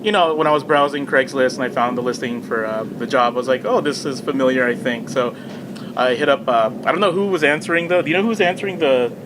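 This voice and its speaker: A man's voice: mid-range voice, fast talker, background noise. A man chatting casually as a podcast guest, telling self-deprecating, joking anecdotes. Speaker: podcast guest, video game company public relations specialist.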